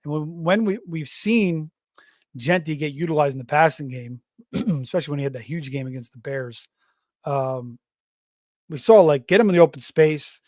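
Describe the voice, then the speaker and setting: Middle-aged male stream host, slightly raspy from a cold, rambly and casual, somewhat high voice, dry close mic. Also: moderate pace, a little mellow, no room sound. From Florida. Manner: rambling, tangents, frequent fillers and restarts. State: slightly hoarse, voice going raspy. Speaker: fantasy football show host. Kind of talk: casual live-stream sports talk.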